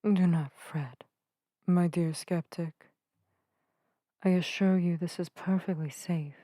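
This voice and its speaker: A gentle witch voiced by a woman speaks softly in a warm, relaxed tone, very close and dry on the microphone. The delivery is earnest and soothing, with quaint, formal phrasing suited to an intimate ASMR roleplay. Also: lowish voice, slow, no room sound. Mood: reassuring. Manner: soft-spoken, soothing, old-fashioned formal phrasing. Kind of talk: narrative ASMR roleplay addressed to the listener. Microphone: close microphone in a dry space.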